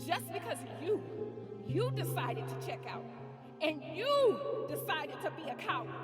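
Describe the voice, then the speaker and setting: A woman's voice: very high-pitched, big pitch swings, a little slow, over loud music, exaggerated voice. A woman playing a grieving, accusing wife as a remembered voice in an audio drama, speaking emphatically. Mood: bitter accusation.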